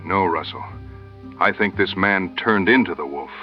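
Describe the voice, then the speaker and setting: A man's voice: low-pitched, moderate pace, highs cut off, natural voice. A man playing a resolute, plain-spoken frontier hunter and tracker in an audio drama, with a folksy, determined manner. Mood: wary, focused. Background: music and night crickets.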